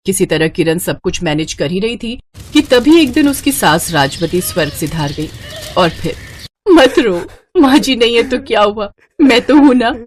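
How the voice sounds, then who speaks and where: A woman narrating an animated moral story in a scripted storytelling style. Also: fairly low pitch, a little hiss or hum.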